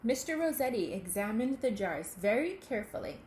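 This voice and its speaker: A woman reading a children's picture book aloud, in a deliberate, expressive way aimed at young listeners. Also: on the high side. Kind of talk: children's storybook read-aloud. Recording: echoey room with faint background noise.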